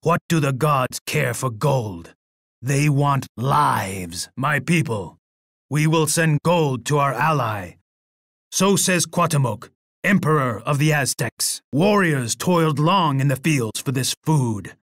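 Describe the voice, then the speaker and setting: A man acting a proud, bloodthirsty Aztec emperor for a video game, with a husky voice and an imperious, boastful manner. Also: medium pitch, animated, takes it slowly, a bit bright, dry recording, clean studio sound, heavily acted. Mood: solemn resolve. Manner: imperious, boastful, speaking of himself in third person. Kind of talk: video game character voice lines.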